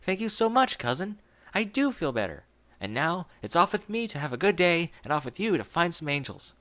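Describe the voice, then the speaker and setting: Man doing a shrill fake-cheerful scared pony. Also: big pitch swings, fairly quick, fairly bright tone. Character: frightened pony forcing cheerful chatter.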